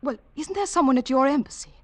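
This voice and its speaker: Woman acting an impulsive lovestruck society daughter, high-voiced. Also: quick pace, natural voice. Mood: concerned, eager. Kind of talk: 1940s radio drama acting. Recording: 1940s radio broadcast recording.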